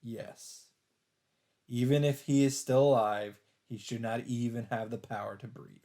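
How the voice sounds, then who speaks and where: A man doing a let's play, reading a visual novel aloud as dramatic narration in halting phrases, with some off-the-cuff reactions. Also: medium pitch, fairly even intonation, dark tone. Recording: music behind the voice. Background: game soundtrack music.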